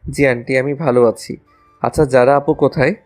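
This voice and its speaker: একজন পুরুষের অভিনয়ে দুষ্টু, রোমান্টিক কলেজপড়ুয়া ছেলে, পেছনে বাজনা. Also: male voice, medium pitch, fairly even intonation, moderate pace, soft background music, close to an everyday voice. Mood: polite. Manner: playful, mock-innocent, teasing. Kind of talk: audio romance story acting.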